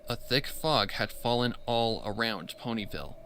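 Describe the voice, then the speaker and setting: Lively, bright-voiced man narrating a story. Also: medium pitch, moderate pace, a little hiss or hum. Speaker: fan fiction narrator.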